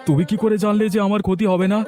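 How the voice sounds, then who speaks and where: A man's voice: high voice, fairly even intonation, fast talker, over music, close to an everyday voice. A man playing a landlord's smug, boastful henchman in a village drama. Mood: earnest. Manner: boastful, self-satisfied. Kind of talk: melodramatic village drama dialogue.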